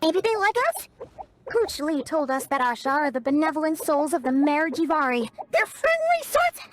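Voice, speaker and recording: A woman voicing a tiny, excitable, childlike fairy companion in a video game, with a squeaky voice and naive, exclamatory manner. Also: unhurried, a little hiss or hum, exaggerated voice. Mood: cheerful. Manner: exclamatory, naive, refers to self in third person. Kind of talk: animated video game voice acting.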